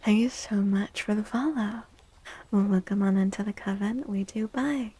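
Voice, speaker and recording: A woman livestream host chatting in a soft-spoken ASMR style, often dropping into a whisper, with a gentle, playful, cutesy and affectionate manner. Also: medium pitch, moderate pace, husky, dry recording.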